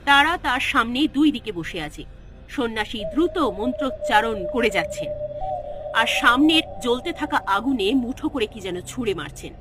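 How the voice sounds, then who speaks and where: Expressive woman narrator with lively pitch. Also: normal speed, noisy background. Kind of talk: scripted horror story narration. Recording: music under the voice.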